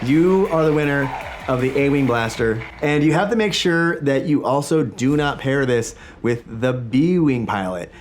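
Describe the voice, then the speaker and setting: An enthusiastic man in his forties talks casually to his camera from a small home studio, with a slight rasp left over from being sick. His pitch sits in a plain middle range, and he chats along in a lively, friendly flow. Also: measured pace, slight background noise. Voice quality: slightly raspy voice. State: recovering from illness. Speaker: toy collecting channel host. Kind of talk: casual vlog talk to camera.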